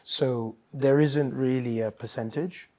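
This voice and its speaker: This man grew up in Nigeria and talks as a guest in a relaxed interview. His voice sits mid-range, and he thinks aloud, with frequent ums and restarts as he gathers his points. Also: medium pitch, measured pace. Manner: thoughtful, with many ums and restarts. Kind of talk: casual interview conversation.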